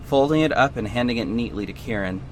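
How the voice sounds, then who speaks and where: Guy acting earnest superhero telling his own story, audio drama, measured serious delivery, mid voice, slight background noise. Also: mid-range voice, expressive, lightly acted. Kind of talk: scripted first-person audio drama narration.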